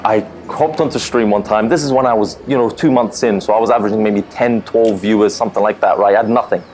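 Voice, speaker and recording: A young man from Lebanon, telling his story as a streamer, candid and animated, full of fillers. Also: on the low side, fast talker, a little hiss or hum. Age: young adult. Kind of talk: personal interview storytelling. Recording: music bed under voice.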